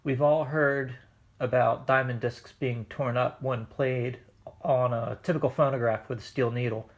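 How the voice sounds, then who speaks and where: Gravelly man giving a home demo. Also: medium pitch, steady tone, measured pace, lo-fi sound. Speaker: host, record hobbyist. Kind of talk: casual demonstration of vintage records. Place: indoors, living room at home.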